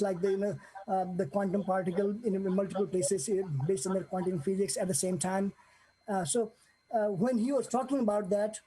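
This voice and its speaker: A man in his forties from Nepal, giving an unscripted personal testimony with many pauses, fillers and restarts. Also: high-pitched, warm tone, breathy edge, low-quality recording. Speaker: guest sharing a testimony.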